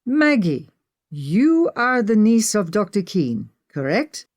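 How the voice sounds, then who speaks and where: Egyptian-accented man, voiced by a woman reader, courteous, warm, resourceful contact, audiobook dialogue, dry sound. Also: mid-range voice, very animated, slow, no echo, pitched above the performer's own voice.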